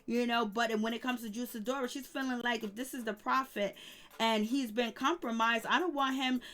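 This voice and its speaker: Woman, YouTube gossip commentator, fairly high voice, loose rambling delivery, tuts and hums along the way. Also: steady tone, normal speed, fairly bright tone. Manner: chatty, rambling with many "you know" fillers; hums disapproving "mm, mm" asides. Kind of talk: casual gossip recap.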